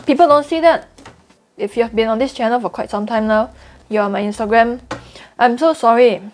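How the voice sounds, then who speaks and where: A woman's voice: a little high, a little mellow. A young woman with a Singaporean accent talking candidly to camera in a vlog, earnest and confiding, repeating points for emphasis. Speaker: trading YouTuber.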